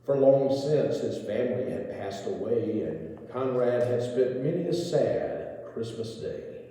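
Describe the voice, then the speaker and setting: A man reads verse and scripture in a very dark, mellow voice. His delivery is slow, solemn and measured, like a storyteller at church. Long reverb rings around every phrase. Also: medium pitch. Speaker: reader at a church. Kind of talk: dramatic reading of rhyming verse and scripture. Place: large reverberant indoor church space.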